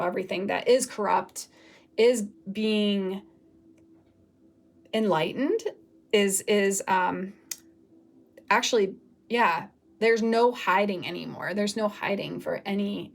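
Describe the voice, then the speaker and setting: A woman with a raspy voice from recent illness, giving a casual, rambling tarot reading with many pauses and fillers. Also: medium pitch. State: raspy from recovering from flu. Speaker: tarot reader.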